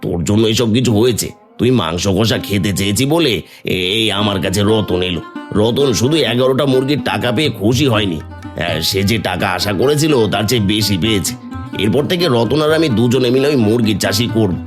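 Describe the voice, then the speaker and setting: A man doing a poor, good-natured village man in an animated folk tale. His voice sits a little low, and he speaks earnestly, often musing aloud. Soft music plays underneath. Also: a little fast, lightly acted. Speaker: voice actor. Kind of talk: Bengali cartoon voice acting.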